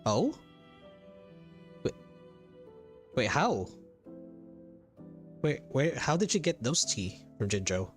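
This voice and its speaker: A man's voice: medium pitch, big pitch swings, slow pace, pauses often. A man giving casual live commentary on a video game playthrough, thinking aloud hesitantly and repeating himself. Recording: game music behind the voice. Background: video game music.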